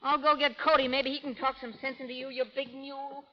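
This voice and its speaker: A woman playing a tough, lovesick frontier woman in an old radio drama, with rough, scolding Western speech. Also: high-pitched, little pitch movement, fast talker, close to an everyday voice. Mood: pleading, scolding. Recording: muffled old radio recording with music.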